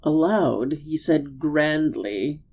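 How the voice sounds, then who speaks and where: A woman narrating a storybook as a dramatic reading, measured and expressive. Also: sits low, husky, dull audio.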